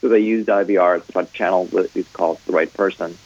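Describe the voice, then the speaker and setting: A man with a lowish voice joins a radio discussion as a guest. He is agreeable and explanatory, speaking conversationally. The line sounds a bit dull. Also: steady tone, quick pace, a little mellow.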